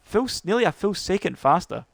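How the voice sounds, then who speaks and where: A man's voice: high voice, sing-song, fairly quick, creaky, no room sound. A man with a Scottish accent commentating a live sim racing stream, chatty and full of fillers and restarts. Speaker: race commentator.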